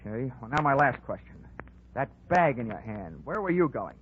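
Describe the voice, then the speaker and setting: An actor playing a tough, wisecracking insurance investigator, wry and sardonic, in a 1940s radio detective drama. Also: male voice, medium pitch, very animated, normal speed, slight background noise, lightly acted. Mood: tough questioning. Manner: wry, hard-boiled, sardonic. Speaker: lead investigator and narrator. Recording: tinny 1940s radio recording.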